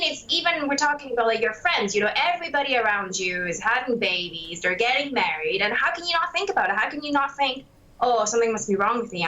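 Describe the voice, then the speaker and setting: Woman in her late twenties, bright tone, slightly high voice, reflective interview answers. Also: highs cut off. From Hong Kong and Canada. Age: 20s. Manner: reflective, uses many fillers. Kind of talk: candid interview.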